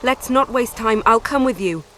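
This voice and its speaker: A woman's voice: fairly high pitch, normal speed, bright, forceful tone, a little hiss or hum. A woman playing a composed, decisive young rebel commander in a fantasy video game, speaking firmly. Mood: grave, decisive. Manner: composed, firm, businesslike.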